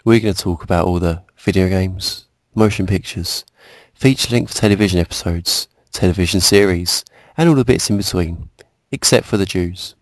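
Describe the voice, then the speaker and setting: Low-voiced man hosting a podcast. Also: normal speed, no room sound. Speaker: podcast host. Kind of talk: casual joking podcast chat.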